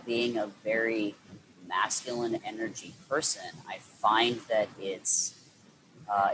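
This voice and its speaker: Low-voiced man, rambling and reflective. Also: noisy background. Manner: rambling, many restarts and fillers. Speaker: interview guest. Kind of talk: reflective conversational interview.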